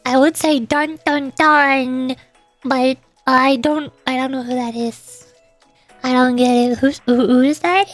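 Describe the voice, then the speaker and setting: Female game streamer, high voice, casual off-the-cuff chat, music faintly behind. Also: fairly even intonation, slow pace, dry recording. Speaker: vtuber streamer.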